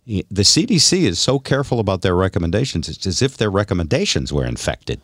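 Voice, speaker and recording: Very deep-voiced man, dry recording. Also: very animated, fast talker, no room sound. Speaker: radio show podcast co-host. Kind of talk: casual talk and commentary.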